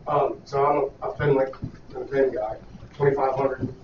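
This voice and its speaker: Flat-toned man listing specs, echoey. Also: mid-range voice, moderate pace, background noise. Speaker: charter captain. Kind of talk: casual panel answer. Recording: echoey room, far from mic, dull.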